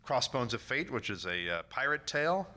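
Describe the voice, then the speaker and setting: A middle-aged or older man giving an informal, halting convention presentation as a producer. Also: mid-range voice, sing-song, pushed and bright, slight background noise. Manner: halting, unscripted delivery. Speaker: panel presenter, audio drama producer. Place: convention panel room.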